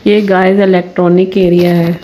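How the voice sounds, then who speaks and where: A woman narrating a shopping vlog in a voice-over, speaking relaxed and addressing viewers directly. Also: on the low side, moderate pace, slight background noise, low-quality recording. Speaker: vlogger.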